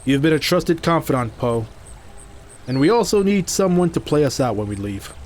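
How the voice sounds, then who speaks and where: A man's voice: fairly high pitch, lively intonation, moderate pace, a little mellow, a little hiss or hum, lightly acted. A man playing a resolute hero and trusting leader in an audio drama, speaking plainly and with command.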